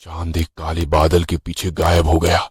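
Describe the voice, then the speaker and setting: Deep-voiced man narrating a horror story. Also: completely flat delivery, normal speed, slightly rough. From Maharashtra, India. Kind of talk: scripted first-person horror narration.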